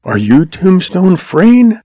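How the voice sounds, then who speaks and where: Man putting on a higher-pitched, pleading young schoolteacher woman. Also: big pitch swings, slow, warm tone, no echo, heavily acted. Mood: hopeful.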